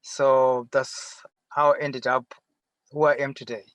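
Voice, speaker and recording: A middle-aged man with a Zimbabwean accent answering interview questions conversationally from inside a car, often pausing and rephrasing. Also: medium pitch, flat delivery, a bit bright, no room sound, low-quality recording. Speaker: interview guest, farmer. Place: sitting in a parked car.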